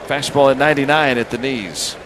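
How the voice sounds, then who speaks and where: A man calling a baseball game live on the radio as play-by-play announcer, in measured clipped phrases with pauses. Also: medium pitch. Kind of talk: live radio sports commentary. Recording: noisy outdoor ballpark radio broadcast. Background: steady ballpark ambience.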